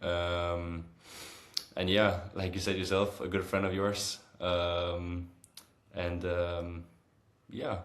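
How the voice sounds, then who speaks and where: A man in his early twenties with a Danish accent, answering casually in a podcast interview with frequent hesitant filled pauses. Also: low voice, level tone. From Copenhagen, Denmark. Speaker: interview guest, football goalkeeper. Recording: roomy, echoing sound.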